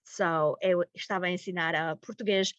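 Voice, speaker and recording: A middle-aged woman from Massachusetts, a teacher presenting informally and haltingly, mixing in words. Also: on the low side, fairly even intonation, fairly bright tone, dry recording. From Massachusetts, United States. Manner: halting, mixes in English words. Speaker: high school language teacher presenting. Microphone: video call. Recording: muffled lo-fi webinar audio.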